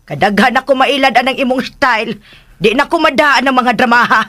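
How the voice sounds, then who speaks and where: An actor playing a brusque, distrustful food vendor in a radio drama, curt and scolding, with a Visayan Philippine accent. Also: male voice, very high voice, a little fast, pushed and bright. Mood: irritated, dismissive. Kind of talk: radio drama acting in Cebuano.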